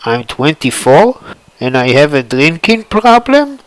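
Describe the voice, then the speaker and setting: A man's voice: a little high, big pitch swings, unhurried, clean tone, dry recording, low-quality recording. A man voicing Cloud, bewildered young man. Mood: shocked. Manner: bewildered, defensive. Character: confused young man, baffled ex-soldier.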